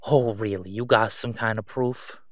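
A man acts out a middle-aged crime boss for an audio drama, sly and self-assured. He draws out his words with mocking condescension, smooth-talking his way along. The sound is close and dry. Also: fairly low pitch, fairly even intonation, dry recording, lightly acted. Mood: mocking, amused. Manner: sarcastic, smooth-talking, drawn-out condescending delivery. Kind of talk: roleplay audio drama monologue.

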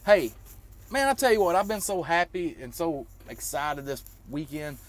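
A man's voice: on the high side, normal speed. A man in his thirties talking informally to vlog viewers, explaining emphatically and repeating himself to drive points home. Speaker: trucker vlogger.

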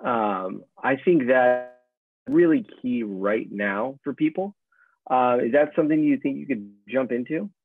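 A man hosting an online interview in casual, halting talk, heard over a video call. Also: medium pitch, very animated, vocal fry, slightly rough. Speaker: interview host. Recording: muffled, dry video-call audio.

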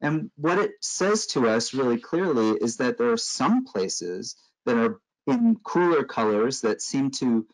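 A man's voice: mid-range voice, big pitch swings, poor audio. American man giving a slide lecture. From Los Angeles, California. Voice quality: measured, explanatory, frequent um and uh fillers. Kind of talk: conference lecture over slides.

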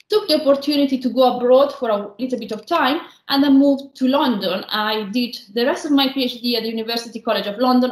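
A woman from northern Italy with a strong Italian accent, giving an online lecture as a senior lecturer, explaining slowly with many pauses. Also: on the high side. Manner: measured, explanatory, frequent um and uh pauses. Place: indoor room, remote video call. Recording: roomy echo.

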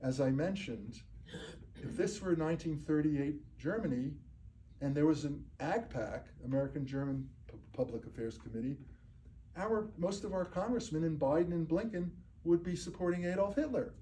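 A man's voice: on the high side, lively intonation, normal speed, mellow, a little hiss or hum, rough recording. Blunt older man lecturing in a room. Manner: blunt, emphatic, asks "okay?" often. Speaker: speaker giving a talk. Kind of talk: lecture and audience Q&A. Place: community meeting room. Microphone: far camera, no microphone.

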